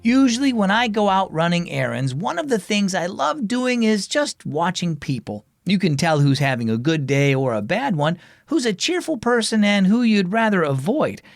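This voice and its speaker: A man speaking as host and narrator of a children's devotional program, reading scripted material in an animated, expressive way. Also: high voice, moderate pace, gravelly, hardly any pauses, no echo, clean studio sound, faint music behind.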